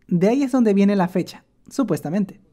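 Woman narrator for a cartoon; sardonic, joking, warm low tone. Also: lively intonation, normal speed. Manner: sarcastic, playful asides. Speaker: animator narrator. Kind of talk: comic scripted narration.

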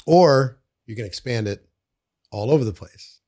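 An older man hosting a podcast interview in a studio, talking casually into a boom mic, often repeating words and trailing off quietly. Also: animated, a little slow. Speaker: podcast host. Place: studio with bookshelves. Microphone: studio mic on boom arm.

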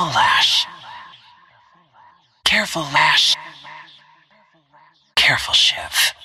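A woman voicing a stealthy, cold-blooded assassin in a video game, with hushed, clipped, menacing short lines in a breathy, whispery voice. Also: deep voice, heavily acted. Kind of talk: video game character callouts.

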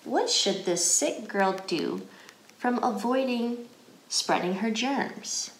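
An American woman reading a picture book aloud to children as an educator, phrasing deliberately in a guided read-aloud style. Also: fairly high pitch, animated, slight background noise. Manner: deliberate, clearly phrased, asks questions. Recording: roomy indoor echo.

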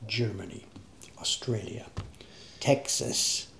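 Older man with a creaky voice. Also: on the low side, takes it slowly, a bit bright, whispered. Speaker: craftsman host of a live stream. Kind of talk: casual live-stream chat. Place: indoor workshop.

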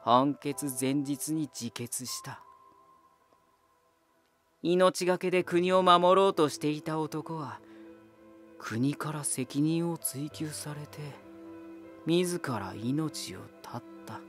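Slow, proud male lighthouse spirit. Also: on the high side, a bit bright, faint music behind. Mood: somber, resolute. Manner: deliberate, cryptic, condescending. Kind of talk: dramatic Japanese voice drama acting.

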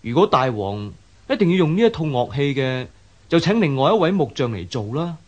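An actor playing a respectful, cautious minister of an ancient court in a radio drama, formal and earnest, with a Hong Kong Cantonese accent. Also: male voice, medium pitch, very animated, brisk, darkish tone, dull audio.

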